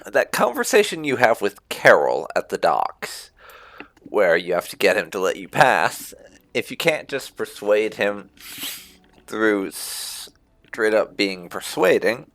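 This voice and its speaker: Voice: low-pitched, sing-song, slow pace, fairly bright tone, no echo. A video game streamer giving casual, rambling let's-play commentary with wry asides.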